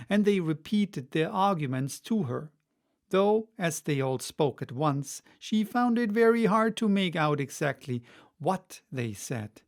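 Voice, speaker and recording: A man with a fairly high voice reads a storybook aloud. He narrates as for an audiobook.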